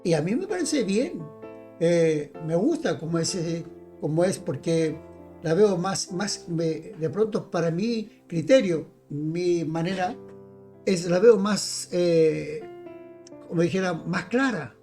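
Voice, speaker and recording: Mellow-voiced preacher over faint music. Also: medium pitch, expressive, takes it slowly. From Chile. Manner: emphatic, direct address to listeners, repeats phrases. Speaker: evangelical preacher. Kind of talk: informal Bible teaching.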